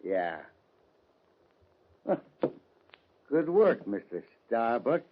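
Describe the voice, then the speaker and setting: A man playing a smug, scheming villain boss in an old radio western, with a husky voice. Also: medium pitch, sing-song, extremely slow, exaggerated voice. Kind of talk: 1940s-50s radio western acting. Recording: muffled old-time radio recording.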